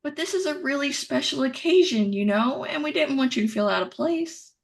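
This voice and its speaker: An actress playing a chatty, well-meaning young wife in a virtual staged reading of a play. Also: female voice, high voice, animated, a little fast, gravelly. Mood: bright, eager.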